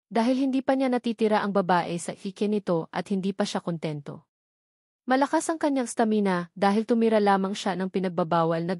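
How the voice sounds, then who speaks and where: A woman's voice: mid-range voice, a little mellow, no room sound, studio quality. A woman reading scripted fiction aloud as an audiobook narrator.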